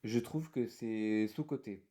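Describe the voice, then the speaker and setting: Goofy, self-mocking young podcast co-host. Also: male voice, lowish voice, slow pace, warm tone. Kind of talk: casual chat.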